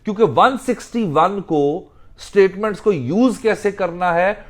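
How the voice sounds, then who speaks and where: A man explaining legal rules in a deliberate way, mixing in English legal phrases. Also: sits high. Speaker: legal instructor.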